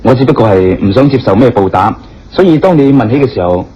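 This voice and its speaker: An actor playing a courteous, curious and somewhat evasive adventurous gentleman in a radio drama. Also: mid-range voice, expressive, fast talker, slight background noise, close to an everyday voice. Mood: apologetic. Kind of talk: Cantonese radio drama acting. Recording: dull lo-fi audio with background music.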